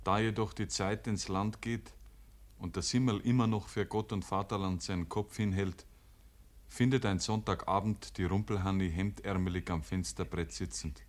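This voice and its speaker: A man's voice: low-pitched, fairly even intonation, normal speed, lightly acted. A man narrating a 1950s dialect radio play as a folksy rural storyteller. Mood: measured. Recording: noisy 1950s radio recording.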